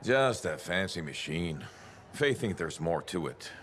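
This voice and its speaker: An actor playing a cocky, gruff mechanic freelancer in a video game, boastful, folksy and plain-spoken. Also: male voice, deep voice, big pitch swings, unhurried, a bit bright, slight background noise, exaggerated voice. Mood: musing, wry. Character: cocky, gruff mechanic freelancer philosophizing about the world as machine. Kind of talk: video game dialogue acting.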